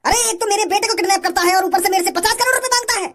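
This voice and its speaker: Woman as a high-voiced, fiery cartoon father. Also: very fast, fairly bright tone, slight background noise. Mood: defiant, angry. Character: wealthy protective father, hot-headed and defiant. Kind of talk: comic cartoon dialogue.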